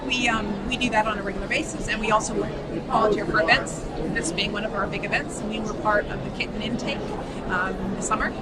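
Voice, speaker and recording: A woman's voice: medium pitch, fairly even intonation, quick pace. A woman hosting a live charity stream, chatty and full of fillers, losing her voice. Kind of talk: live event commentary. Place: busy indoor gala hall. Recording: loud crowd and noise behind.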